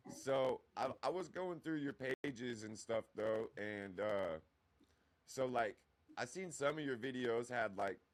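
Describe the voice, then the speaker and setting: A man's voice: mid-range voice, animated, slow pace. A man in his mid-twenties hosting a podcast, asking questions in loose, rambling casual talk. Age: 20s. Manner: loose, rambling, lots of "you know what I mean". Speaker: podcast host. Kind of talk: casual interview chat.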